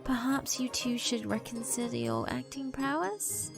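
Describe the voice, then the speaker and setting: A woman voicing a polite gentleman spirit with a formal, measured, dryly teasing manner in a visual novel reading. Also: fairly high pitch, huge pitch swings, creaky, soft background music, pitched above the performer's own voice, heavily acted.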